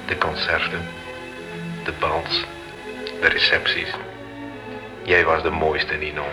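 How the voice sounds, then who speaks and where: A man's voice: rumbling low, completely flat delivery, takes it slowly, over music. An actor playing an ardent young nobleman's ghost in a radio drama, solemn and romantic. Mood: romantic, nostalgic.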